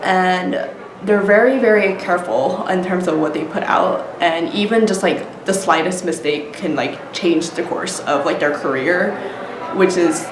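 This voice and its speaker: American woman in a noisy, echoey space. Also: on the low side. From United States. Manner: frequent "like" and "you know", informal. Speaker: interviewee. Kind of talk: casual interview answers.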